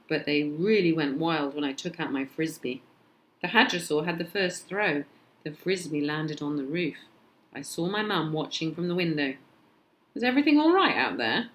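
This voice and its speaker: A woman with a British accent reading a children's storybook aloud in an expressive narrating style. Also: low voice. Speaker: storybook reader.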